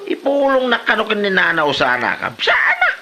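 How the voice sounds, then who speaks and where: A sharp, swooping voice of a cheeky male prankster acted by a man in comedy drama. He speaks with a northern Philippine accent, full of teasing exclamations and repeated interjections. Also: high-pitched, wildly swooping pitch, fairly quick, full character voice. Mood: agitated. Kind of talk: Ilocano comedy radio-style drama acting.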